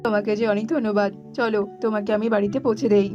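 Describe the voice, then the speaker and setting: A woman's voice: medium pitch, fairly even intonation, fast, close to an everyday voice. Earnest captive prince for a cartoon fable, voiced by a woman, mid-range, slightly dull sound with music. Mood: grateful. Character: earnest young captive prince trapped in tree.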